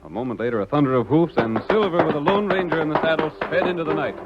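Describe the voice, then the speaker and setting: A man's voice: fairly high pitch, fast, loud music behind the voice, lightly acted. A man acting as the formal, theatrical narrator of an old-time western radio adventure, in scripted dramatic style. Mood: suspenseful. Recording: dull vintage radio recording.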